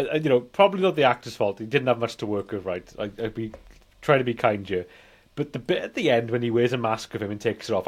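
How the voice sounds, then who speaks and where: A man in his forties hosting a casual film review podcast, talking in an animated, repetitive way and punctuating his points with "right?". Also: medium pitch. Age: 40s. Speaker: podcast host.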